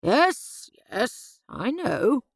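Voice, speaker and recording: A woman voicing a fussy, older upper-class English gentleman who blusters and hesitates in old-fashioned phrasing. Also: fairly high pitch, sing-song, very slow, creaky, no echo, studio quality, voice pushed up, heavily acted. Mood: gloomy.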